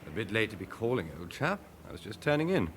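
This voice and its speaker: An actor playing an urbane, languid, wry gentleman rogue in a radio drama. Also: fairly low pitch, sing-song, fast talker, slight background noise. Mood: suave, wry. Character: suave, languid gentleman rogue lying low.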